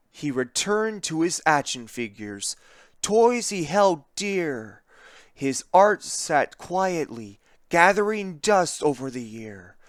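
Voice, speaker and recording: A narrator reading a children's picture book aloud in an expressive, theatrical way, with deliberate emphasis on the rhymes. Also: medium pitch, no room sound, clean studio sound.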